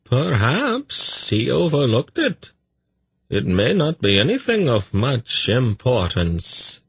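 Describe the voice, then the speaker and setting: An unflappable male slaver in a fantasy audiobook, pitched well up from the reader's usual voice. He talks slowly and deferentially, courteous but evasive, in a husky, swooping voice. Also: wildly swooping pitch, fairly bright tone, no echo, full character voice. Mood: placating, evasive. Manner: deferential, measured, smoothly placating. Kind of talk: fantasy audiobook character acting.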